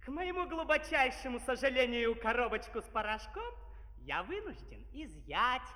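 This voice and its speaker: Syrupy, menacing police spy, very high-voiced. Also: male voice, big pitch swings, moderate pace, sharp tone, exaggerated voice. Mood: syrupy menace. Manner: mock-sweet, full of diminutives and false courtesy. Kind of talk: theatrical children's musical radio play acting. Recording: 1970s vinyl record, long reverb.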